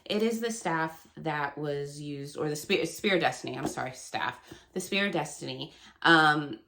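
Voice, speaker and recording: Book vlogger, woman, 30s, low voice, gravelly and nasal with a cold, chatty. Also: normal speed, noisy background. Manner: chatty, rambling, laughs often. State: hoarse and stuffy from a cold. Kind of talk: casual chat to camera.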